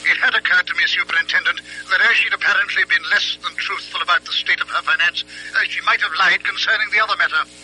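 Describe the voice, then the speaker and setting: An actor playing a pompous, precise British doctor and criminologist in an old-fashioned radio drama, speaking formally and pedantically. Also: male voice, high voice, fairly even intonation, fast, bright, forceful tone, husky, exaggerated voice, rough recording. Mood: formal, inquiring. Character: pompous, precise, sharp-minded doctor and criminologist dictating notes.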